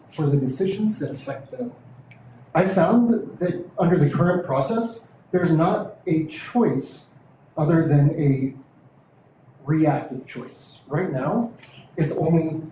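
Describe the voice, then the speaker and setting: A man's voice: fairly high pitch, mellow. A middle-aged man, a teachers' union leader, earnestly reading a prepared statement at a school board meeting. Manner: earnest, measured, heartfelt. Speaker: teachers' union president and public commenter. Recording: echoey meeting room, faint hiss.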